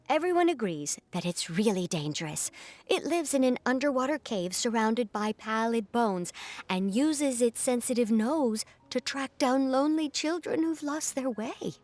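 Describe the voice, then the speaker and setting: A woman acting a high-voiced, dramatic townswoman. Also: animated, moderate pace, darkish tone, no room sound, lightly acted. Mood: ominous storytelling. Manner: storytelling, earnest and theatrical. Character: dramatic, superstitious woman representing a fishing association. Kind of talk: video game voice acting.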